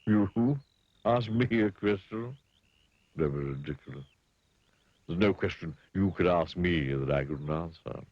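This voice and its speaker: A man's voice: sits low, big pitch swings, slow, creaky, breathy edge, no echo, exaggerated voice. A smug, rude storybook pig, as a man puts it on. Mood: sneering. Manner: sneering, sarcastic. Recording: dull, dry lo-fi recording.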